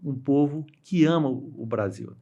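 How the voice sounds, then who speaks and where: A middle-aged man from Brazil with a Brazilian Portuguese accent, telling stories as an interview guest in a halting, restart-heavy way, voicing the people he quotes. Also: a little high, dark tone, muffled recording. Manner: halting, with many restarts and fillers; acts out quoted voices while telling stories. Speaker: interview guest, dam engineer. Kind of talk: casual storytelling interview.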